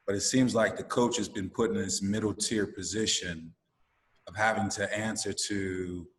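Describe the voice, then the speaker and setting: Deep-voiced man coach in echoey room. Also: fairly even intonation, fairly bright tone. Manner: measured, searching for words. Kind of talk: town hall discussion. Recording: echoey room with long reverb.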